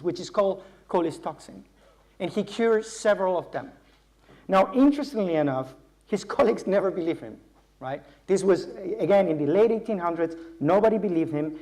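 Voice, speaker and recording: A man's voice: sits high, darkish tone. A man giving a university public lecture as a scientist. He explains step by step and often repeats words as he builds his sentences.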